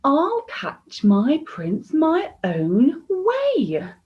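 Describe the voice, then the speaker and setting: A woman's voice: high voice, wildly swooping pitch, drawn-out words, highs cut off, voice pushed up, exaggerated voice. A woman voicing a tough, independent young princess in a storybook, speaking firmly and bluntly. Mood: defiant. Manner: firm, blunt.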